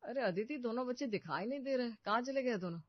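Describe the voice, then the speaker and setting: A woman's voice: a little high, lively intonation, fast talker, dry recording, lo-fi sound. A woman playing a concerned young man in a cartoon family story.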